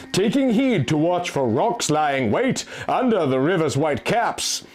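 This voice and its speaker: A man giving a theatrical, emphatic dramatic reading of a first-person fantasy story. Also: fairly high pitch. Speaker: narrator, reader. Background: faint music.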